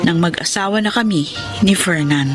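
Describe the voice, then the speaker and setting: A woman's voice: on the low side, normal speed, over loud music, close to an everyday voice. A woman acting a long-suffering young wife, fed up and complaining, in a Filipino radio drama. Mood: weary, reflective. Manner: emotive, complaining.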